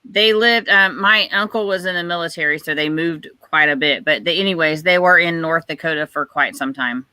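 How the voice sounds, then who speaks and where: A speaker in their forties hosts a casual group video call and keeps asking the guests friendly questions. The tone is very bright and sharp. The sound is dry and close. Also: medium pitch, moderate pace, hardly any pauses, clear, resonant tone, dry recording. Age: 40s. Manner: friendly, inquisitive.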